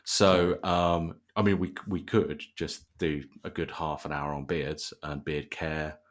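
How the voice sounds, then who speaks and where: A man with a very low voice hosts a relaxed podcast. He talks in a bantering, conversational way, with dry humour often aimed at himself. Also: takes it slowly. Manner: dry, jokey, self-deprecating. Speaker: podcast host. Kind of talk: casual bantering podcast interview.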